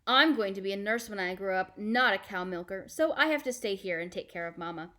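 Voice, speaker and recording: A woman's voice: mid-range voice, brisk, pushed and bright, lightly acted. A woman voicing a small, eager little sister in a storybook, childish and insistent.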